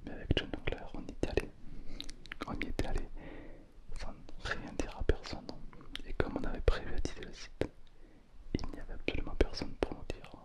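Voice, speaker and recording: A man whispering in a breathy, hushed ASMR roleplay as an awed adventurer, telling his story with deliberate pauses. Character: awed YouTube challenge adventurer showing ancient finds. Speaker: ASMR performer.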